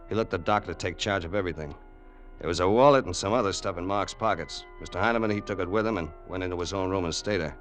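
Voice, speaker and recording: An actor playing a loyal, wary gangster's sidekick in an old radio crime drama, colloquial and hardboiled. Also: male voice, sits low, a bit bright. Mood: matter-of-fact. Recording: noisy old radio recording.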